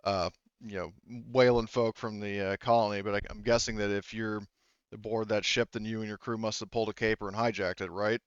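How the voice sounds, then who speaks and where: Man playing a low-voiced, stammering starship captain. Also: flat delivery, brisk, clear, resonant tone, dry recording, muffled recording, lightly acted. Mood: apologetic, chummy. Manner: stammering, informal. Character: gullible, apologetic male starship captain.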